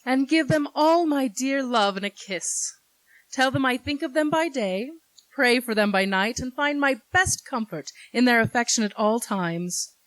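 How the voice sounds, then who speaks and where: A woman's voice: high-pitched, expressive, measured pace, fairly bright tone, no echo, rough recording. A woman playing a loving, caring mother with a tender manner in a 1940s-style radio drama.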